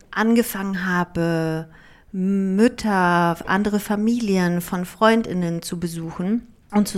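Candid, reflective woman telling her story. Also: mid-range voice. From Germany, raised partly in Greece. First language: Greek. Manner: reflective, candid, with frequent fillers and restarts. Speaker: author and performer, guest. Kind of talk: relaxed conversational interview.

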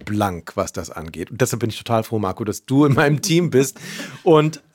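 A man with an ordinary mid-pitched voice co-hosts a casual podcast. He jokes and talks in a playful, animated way. The sound is dry and close, with no room sound. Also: normal speed, no room sound. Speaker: podcast co-host. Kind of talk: casual chat.

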